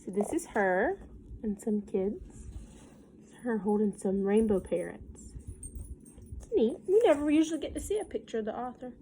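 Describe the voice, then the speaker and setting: Southern us lady teacher doing storytime, highish voice, sing-songy n folksy, bit of noise behind her. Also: sing-song, moderate pace, long pauses, warm tone, rough recording. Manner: sing-song when reading, folksy and conversational in asides. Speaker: teacher reading aloud to children. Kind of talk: picture-book read-aloud with casual asides.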